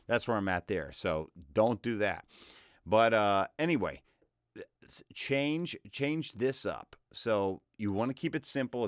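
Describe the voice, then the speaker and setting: A young man with a low, deep voice talks through a casual tutorial. He rambles and cuts himself off mid-thought, but keeps the advice upbeat. The sound is close and dry, with no room around it. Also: a bit bright, clear, resonant tone, dry recording. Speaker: voice-over freelancer teaching online selling. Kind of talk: casual screen-share tutorial.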